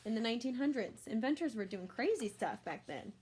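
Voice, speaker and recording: Woman, high-pitched voice, teacher read-aloud, expressive, exclaiming, simple asides for kids. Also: fairly quick, breathy edge. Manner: expressive, exclaiming, explains things simply for children. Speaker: teacher reading a picture book aloud to children. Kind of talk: read-aloud storytelling with asides to young listeners.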